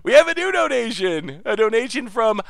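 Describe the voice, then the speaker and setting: A man streaming and commenting on a card game in a casual, excitable way, laughing often, his voice a little congested. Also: extremely high pitch, moderate pace, dry recording.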